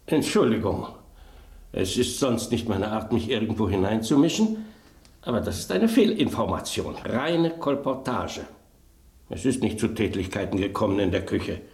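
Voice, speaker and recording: An actor playing a vain, refined old red wine who speaks pompously and savours words, in a German radio drama. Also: male voice, fairly low pitch, big pitch swings, moderate pace, a little mellow, reverberant. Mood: haughty correcting.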